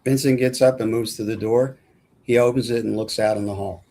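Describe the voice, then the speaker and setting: A man's voice: lowish voice, steady tone, a little fast, dark tone. A man narrating screenplay action lines at a film table read. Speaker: script narrator.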